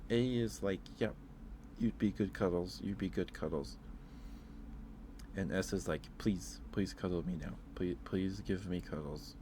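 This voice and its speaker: A man's voice: deep voice, a little slow, dark tone, a little hiss or hum. A man chatting casually on an ASMR livestream, rambling hesitantly and repeating his phrases, close to the microphone.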